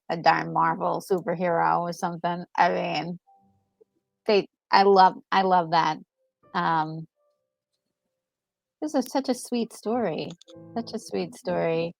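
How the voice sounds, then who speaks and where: Woman w lowish voice, casual gaming chat, excited but halting, thinking out loud, bit of music behind. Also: creaky, dry recording, poor audio. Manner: enthusiastic, halting and thinking aloud. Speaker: gaming channel co-host. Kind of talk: casual reaction chat about a video game.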